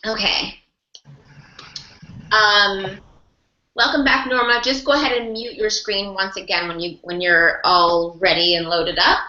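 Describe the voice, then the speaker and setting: A woman's voice: medium pitch, steady tone, measured pace, long pauses, bright, forceful tone, reverberant, dull audio. A woman mentoring a group of sign language interpreters, encouraging and talking through examples with lots of rephrasing and repetition. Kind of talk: online group class discussion.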